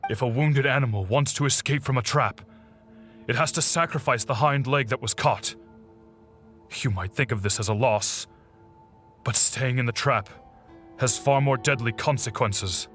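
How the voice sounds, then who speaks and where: An actor playing a grave, middle-aged father and clan head, speaking in a measured, resigned way in a video game drama. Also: mid-range voice, fairly bright tone, faint music behind, close to an everyday voice. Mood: solemn regret.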